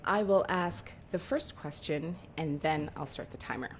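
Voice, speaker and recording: A woman's voice: deep voice, normal speed, a little hiss or hum. A woman hosting a casual, playful question-and-answer interview. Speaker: interview host.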